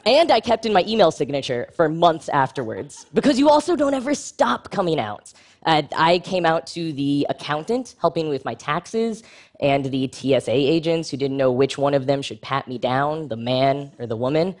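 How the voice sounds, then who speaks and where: A man giving a prepared public talk with a wry, frank, humorous delivery and lively jumps in pitch for emphasis. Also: moderate pace.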